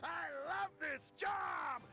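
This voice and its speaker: A man voicing a menacing, sadistic hitman villain in a cartoon game, gravelly and gloating as he makes threats. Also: very high voice, wildly swooping pitch, heavily acted. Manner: slow, gloating, shouted threats. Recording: music behind the voice.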